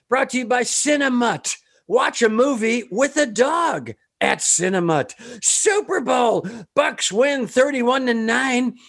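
A man hosting a podcast, reading a comic sports news update in punchy, deadpan bursts with sudden shouts. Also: high-pitched, creaky. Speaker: podcast host.

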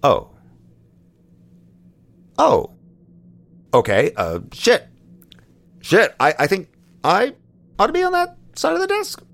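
A man's voice: high-pitched, wildly swooping pitch, slow pace, gravelly, long pauses, a bit bright, a little hiss or hum, full character voice. A man in an audio drama playing a wary, hesitant friend with a dry wit. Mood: alarmed. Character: wary, wry friend hearing a confession. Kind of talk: naturalistic audio drama acting.

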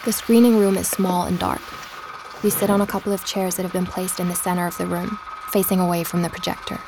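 An actress playing a podcast host, narrating in a calm, reflective way for an audio drama. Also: female voice, on the low side, fairly quick, background noise, lightly acted. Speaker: podcast narrator and investigator Emma.

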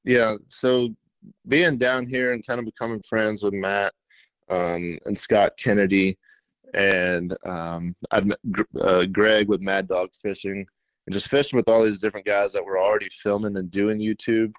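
A shy young man from middle Tennessee, a fishing YouTuber, answering interview questions in a self-described monotone with many fillers. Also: fairly low pitch, moderate pace, no room sound, muffled recording. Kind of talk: casual interview.

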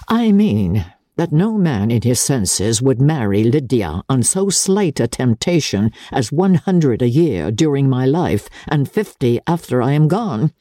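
A woman voicing a dry, rueful older gentleman, a weary father, blunt and wry in a dramatic reading of a classic novel. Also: a bass voice, very animated, a little slow, no echo, exaggerated voice.

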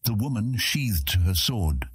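A man with a husky voice reading scripted fantasy narration as an audiobook narrator. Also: lowish voice, very animated, slow, no echo, clean studio sound.

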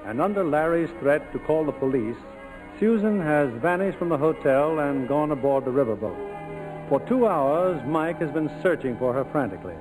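A man's voice: on the high side, animated, normal speed, low-quality recording. A man narrating a 1940s radio drama as host, in a formal, dramatic storytelling manner, with music behind him.

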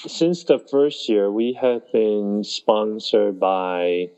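Gravelly low man, halting answers. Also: lively intonation, warm tone, lo-fi sound. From Taiwan. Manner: halting, with many restarts and pauses. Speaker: festival organizer. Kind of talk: conversational interview answers.

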